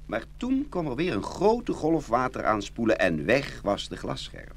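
A man narrating a children's fairy tale in an expressive, scripted storytelling style. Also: medium pitch, a little slow, creaky. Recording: hum and steady noise.

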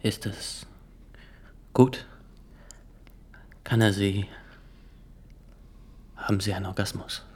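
An actor in a German radio drama playing a formal, zealous nineteen-year-old state inspector who questions insistently and haltingly. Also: male voice, fairly low pitch, fairly even intonation, whispered. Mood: halting, uneasy. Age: young adult.

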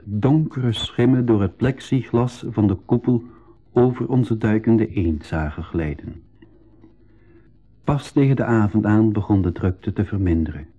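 A man narrating a radio drama as a reflective crew member, in deliberate storytelling style on a slightly dull recording. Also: fairly low pitch, dark tone. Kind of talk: scripted first-person radio drama narration.

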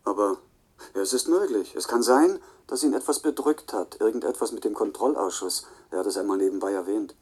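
An actor playing a frank, cooperative colleague in a radio play, answering in a measured, reflective and helpful way. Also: male voice, on the low side, darkish tone, slightly rough. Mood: thoughtful, helpful.

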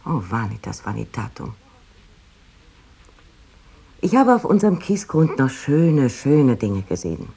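A woman's voice: extremely low pitch, very animated, unhurried, creaky, pauses often, warm tone, breathy edge, exaggerated voice. An actress playing a wry, rapturous woman poet of the 19th century, reading letters expressively in a radio play. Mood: wistful.

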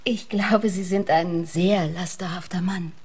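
An actress playing a flirtatious, sultry fiancée in a radio play, coquettish and gently mocking. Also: female voice, on the low side, fairly even intonation, measured pace, slightly rough. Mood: sultry, curious. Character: seductive, teasing beauty, wealthy man's flirtatious fiancée.

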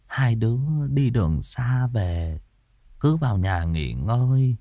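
A man voicing a grieving elderly widowed mother in an audio story, halting and tearful. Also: medium pitch, big pitch swings, no echo, dull audio. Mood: gentle, weary. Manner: halting, sobbing, repeating words.

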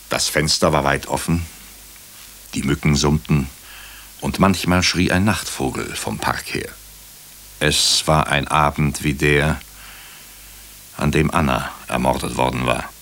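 A very low-voiced, husky male hospital doctor narrates a crime radio play. He is brooding and wry. His phrases come measured and clipped, as if thinking aloud. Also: fairly bright tone, full character voice. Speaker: narrator and lead character. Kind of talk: German radio drama, first-person crime narration.

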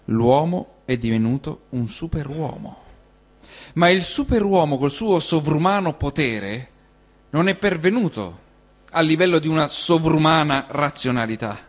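A man with a slightly high-pitched voice reads a serious text aloud like a stage actor on the radio. His phrasing is solemn and deliberate, each clause set apart as a declamation. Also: animated. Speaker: reader in a radio collective of actors. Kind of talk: dramatic literary reading of an essay, solemn.

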